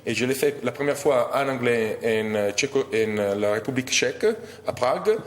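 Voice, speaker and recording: A man's voice: medium pitch, moderate pace, reverberant, long reverb tail. An Italian man with an Italian accent, a guest actor giving an interview, hesitating often as he searches for his French words. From Italy.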